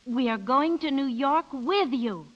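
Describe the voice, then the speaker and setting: An actress playing a chiding, eager middle-aged American wife in a muffled, reverberant 1930s radio drama. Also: female voice, high-pitched, animated, measured pace. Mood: chummy, chiding.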